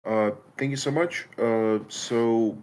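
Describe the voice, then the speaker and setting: A man speaking casually in an online meeting as a newcomer, unsure, apologetic and halting. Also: medium pitch, darkish tone, clear, resonant tone. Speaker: newcomer participant in feedback session. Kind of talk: hesitant casual remarks.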